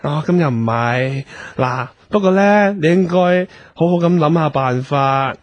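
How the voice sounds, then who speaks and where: High-pitched, fussy Hong Kong showbiz manager played by a man. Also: measured pace, lo-fi sound, close to an everyday voice. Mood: coaxing. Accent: Hong Kong Cantonese. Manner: nagging, exclamatory, cajoling. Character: fussy, boastful male showbiz manager, easily flustered. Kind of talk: radio comedy drama acting. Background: music.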